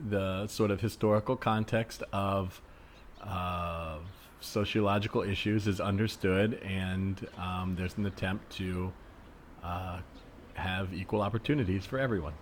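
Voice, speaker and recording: A man playing an awkward, polite, peace-minded city liberal, tentative and diplomatic in a conversational improvised comedy scene. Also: lowish voice. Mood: cautious, cooperative. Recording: faint noise, added ambient soundscape. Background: faint ambient sound effects.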